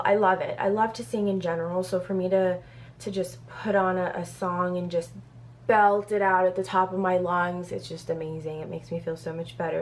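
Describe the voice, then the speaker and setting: A woman in her thirties from Brazil chats through a casual question-and-answer video, reading each question off a printed page. Her voice sits a little low, with a gravelly fry running through it. A faint hum stays under the recording. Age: 30s. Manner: chatty, reads questions off a paper, many "um"s. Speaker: beauty vlogger. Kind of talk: casual get-to-know-me Q&A.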